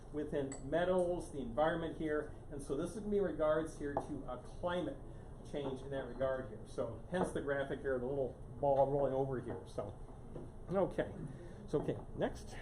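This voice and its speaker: A man lecturing a small room in a loose, rambling way, restarting sentences and leaning on the word "here." His voice sits fairly high, and it is picked up from a distance in an echoey room with steady background noise. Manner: casual, repeats "here" constantly, many restarts. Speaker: guest speaker on climate science. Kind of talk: live public lecture to a small audience. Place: meeting room with a live audience.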